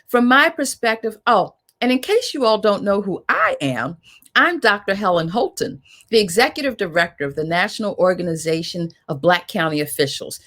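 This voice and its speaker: A woman's voice: medium pitch, expressive, normal speed, a bit bright. A woman moderator with a mid-range voice leads a virtual panel. She speaks conversationally but with emphasis, addressing guests and audience directly as a host. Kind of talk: hosting a virtual panel discussion.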